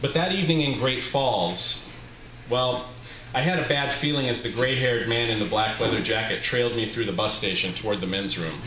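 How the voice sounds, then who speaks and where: A middle-aged man reading a humorous personal story aloud at a live event, with a wry, deliberate storytelling delivery. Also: medium pitch, pushed and bright. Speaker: author reading his memoir aloud. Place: small bar with brick walls, live reading. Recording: roomy live bar recording, faint background noise.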